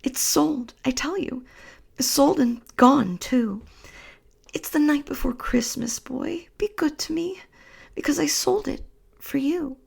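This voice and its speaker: A woman's voice: sits high, slow pace, voice pushed up, heavily acted. A woman whispering as a tender young wife. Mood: pleading. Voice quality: soft whisper. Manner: soft, gentle, breathy.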